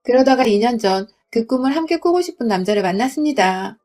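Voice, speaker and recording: A young woman from Gwangju narrating a first-person story in a smooth, confiding reading voice. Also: on the high side, lively intonation, a little fast, dark tone. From Gwangju, South Korea.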